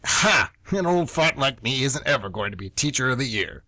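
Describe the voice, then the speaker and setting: Radio play: male actor as indignant veteran schoolteacher close to retirement, animated, bright husky voice, emphatic rants. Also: mid-range voice, moderate pace, no room sound. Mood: cynical, ranting. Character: grumpy old-school male high-school teacher nearing retirement. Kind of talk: amateur radio play acting.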